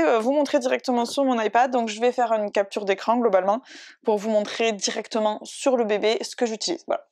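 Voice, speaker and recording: A woman's voice: on the high side, darkish tone, dry recording, clean studio sound. A young woman illustrator giving a casual drawing tutorial, chatty and self-deprecating, with many hesitations. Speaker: digital illustrator.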